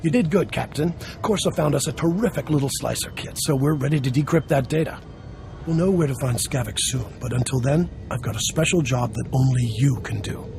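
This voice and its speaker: An actor playing a jovial, scheming smuggler boss in a video game, gravelly and persuasive. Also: male voice, medium pitch, fairly quick, noisy background, lightly acted. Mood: upbeat persuading. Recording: faint music behind the voice.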